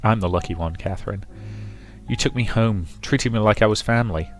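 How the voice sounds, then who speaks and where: A man voicing a gentle, teasing young woman, a loyal friend in a visual novel, soft-spoken and measured. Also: on the low side, soft background music, lightly acted. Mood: fond.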